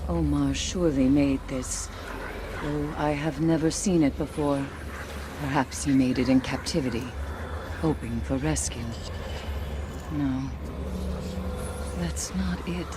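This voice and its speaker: An actress playing a solemn, grieving survivor in a video game, haunted by lost friends, speaking deliberately and formally. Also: female voice, sits low, heavy background noise. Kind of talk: video game cutscene acting.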